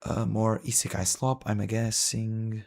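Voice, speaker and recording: A man's voice: on the low side, flat delivery, a little slow, vocal fry. A male streamer talking casually and wryly while browsing a list, rambling with frequent "dude" and "man".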